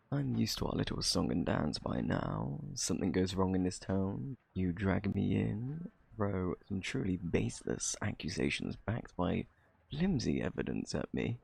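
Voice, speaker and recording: Male actor as flirty criminal, British accent, very gravelly low voice, smug teasing drawl, ASMR roleplay. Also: sing-song, unhurried, a little mellow, exaggerated voice. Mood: flirtatious, teasing. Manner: teasing, drawling, theatrically coy.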